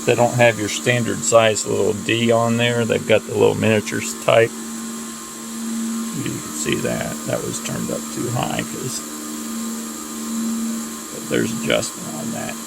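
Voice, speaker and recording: A man's voice: fairly low pitch, lively intonation, unhurried, pauses often. A man giving a casual, unscripted hands-on equipment demonstration in a plain-spoken, offhand way. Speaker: audio repair hobbyist demonstrating equipment. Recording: noisy recording with clear machine hum. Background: loud cooling fan and motor noise.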